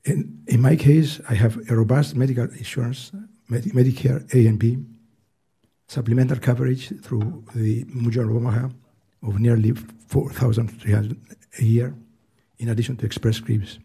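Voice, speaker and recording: An eighty-year-old man with a Greek accent, earnestly reading prepared testimony at a hearing in a soft, weak voice, halting and restarting now and then. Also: mid-range voice, fairly even intonation, gravelly, breathy edge. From Greece. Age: 80s. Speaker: hearing witness. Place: hearing room.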